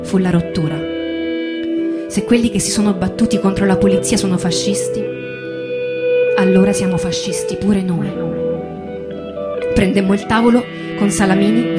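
An actress playing a proud, militant 1962 factory worker who recounts a revolt in a declamatory radio theatre monologue. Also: female voice, mid-range voice, lightly acted. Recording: loud guitar music behind the voice. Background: plucked guitar music.

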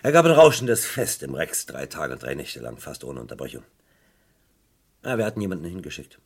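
A man playing a disillusioned, bitter police detective in a crime radio play, speaking emphatically in a husky voice. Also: extremely low pitch, very animated, brisk, exaggerated voice. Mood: bitter recounting. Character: embittered, disillusioned English police forensic detective. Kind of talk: German crime radio play, recounting a case.

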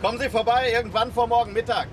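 An actress playing a taunting, bossy accomplice in a shakedown at sea, in a radio crime drama. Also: female voice, fairly high pitch, steady tone, quick pace, close to an everyday voice. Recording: loud boat engine and water noise.

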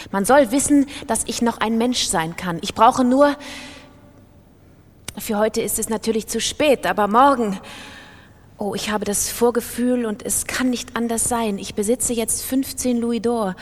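A woman's voice: fairly high pitch, close to an everyday voice. Woman as a feverish gambler, reverberant hall. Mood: feverish resolve. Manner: fervent, emphatic, self-persuading. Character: ruined, obsessive gambler clinging feverishly to hope. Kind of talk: literary radio play monologue.

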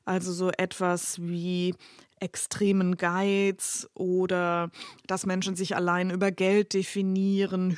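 A woman's voice: mid-range voice, dry recording, clean studio sound. A woman literature scholar giving an explanatory answer in an interview.

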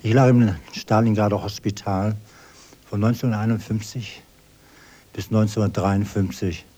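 A man gives formal testimony with deliberate emphasis. His voice is very low, dark and husky, and he repeats words as he builds his account.

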